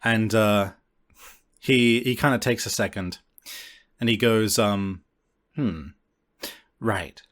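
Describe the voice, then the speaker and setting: A man acting as game master, improvising narration for a tabletop roleplaying game in an informal, halting, explanatory way. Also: fairly low pitch, fairly even intonation, a bit bright.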